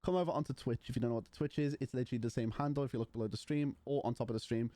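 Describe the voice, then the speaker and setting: A man's voice: mid-range voice, fairly even intonation, very fast, mellow, no room sound. A man, casually chatting and bantering with his livestream chat. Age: 20s. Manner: banters with chat, mocking and playful. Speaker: streamer.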